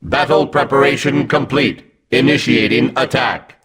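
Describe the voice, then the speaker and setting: An actor voicing a menacing, emotionless combat robot with clipped, mechanical delivery in a video game. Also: male voice, medium pitch, measured pace, fairly bright tone, breathy edge. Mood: cold, threatening.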